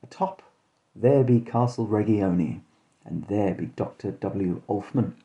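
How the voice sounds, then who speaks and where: A dark-toned, leering barkeep, acted out by a man for a spoof gothic story. He speaks in grand, old-fashioned warnings, as if every direction were a dire omen. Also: fairly low pitch, unhurried, lightly acted. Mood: portentous. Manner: archaic, portentous phrasing.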